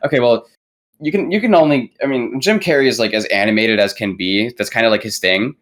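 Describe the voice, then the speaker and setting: A young man hosting a casual film podcast, rambling in halting bursts packed with filler words. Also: mid-range voice, a bit bright. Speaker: podcast host.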